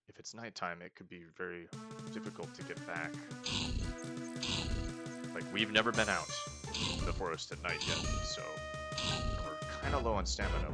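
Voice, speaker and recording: A man casually commenting as he plays a game, chatty and self-mocking as he thinks aloud. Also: low voice. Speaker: let's play host.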